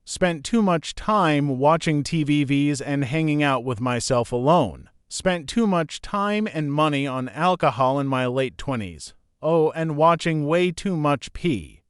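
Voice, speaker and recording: A man reading forum posts and replies aloud in a steady, even narration. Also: a little high, measured pace, hardly any pauses, clean tone, no room sound, clean studio sound. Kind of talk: scripted narration.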